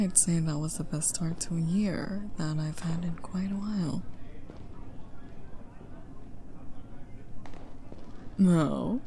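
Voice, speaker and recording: A woman's voice: fairly low pitch, darkish tone, slight background noise. A woman playing a flirty, charming stranger at a party in an intimate ASMR romance roleplay, with a teasing, coaxing delivery. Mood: playful, coaxing. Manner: teasing, coaxing, unhurried, often drops to a whisper.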